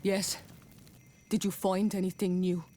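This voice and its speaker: Game role: proud native leader and queen's daughter, female actor, solemn, resolute, medium pitch. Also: level tone, measured pace, warm tone, slight background noise, lightly acted. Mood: questioning. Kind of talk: video game cutscene acting.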